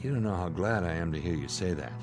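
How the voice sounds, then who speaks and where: Earnest, deep-voiced astronaut. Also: male voice, animated, fast, over music. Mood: remorseful, troubled. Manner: earnest, emphatic. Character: principled, earnest astronaut, grave and conscience-stricken. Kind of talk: dramatic radio play acting.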